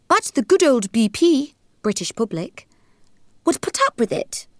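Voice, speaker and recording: An actress giving a dramatic literary reading with an emphatic, indignant delivery. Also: female voice, on the high side, no room sound, studio quality.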